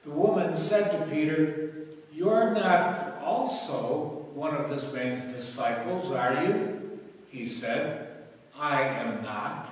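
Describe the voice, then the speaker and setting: An older man gives a solemn scripture reading, slow and fairly high in pitch. His voice rings through a large, very echoey church, picked up from a distance. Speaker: church lay reader. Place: brick-walled church sanctuary at a lectern.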